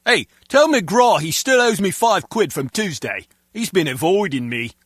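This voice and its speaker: Chatty, bright-voiced henchman guard. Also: high-pitched, lively intonation, measured pace, dry recording, clean studio sound, heavily acted. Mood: matter-of-fact. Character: chatty, easygoing male henchman guard in spy game. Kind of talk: video game dialogue acting.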